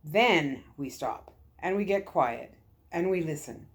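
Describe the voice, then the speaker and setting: A woman voicing a calm, kindly military lieutenant in a story, gentle and earnest. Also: deep voice, takes it slowly, heavily acted. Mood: gentle, reassuring.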